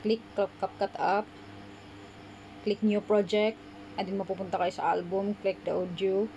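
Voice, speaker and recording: Filipino woman, casual tutorial host, gravelly fry, informal Taglish, step-by-step narration, noticeable background noise. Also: mid-range voice, level tone, unhurried, mellow, muffled recording. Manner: informal, mixes Tagalog with English. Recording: noisy amateur voice-over.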